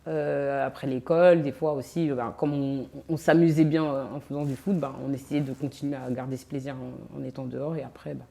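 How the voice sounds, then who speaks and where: A woman in her thirties from France, guest in a casual interview, talking in a relaxed, hesitant way with many fillers. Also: a bass voice, a little fast, gravelly, hardly any pauses. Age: 30s. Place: on location in a sports venue.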